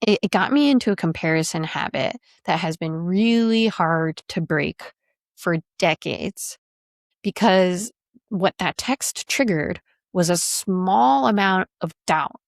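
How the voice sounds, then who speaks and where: A woman in her thirties hosts her own podcast and coaches on careers, talking steadily and reflectively. Her voice sits a little low for a woman. The recording is dry and close, with no room sound. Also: slow pace, dry recording. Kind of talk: solo podcast monologue.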